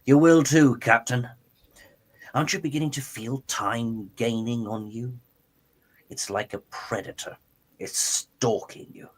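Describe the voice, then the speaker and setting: A man's voice: mid-range voice, steady tone, unhurried, close to an everyday voice. A man playing a smug, coldly obsessed mad-scientist villain in an amateur radio play, theatrical and taunting. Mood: coldly menacing.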